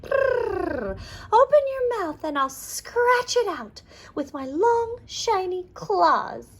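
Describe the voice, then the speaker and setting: A woman's voice: very high voice, big pitch swings, slow pace, voice pushed up, exaggerated voice. A woman voicing a female cat in a children's storybook, purring and drawing out her words as she offers help. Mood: sly, eager. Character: female cat offering long shiny claws.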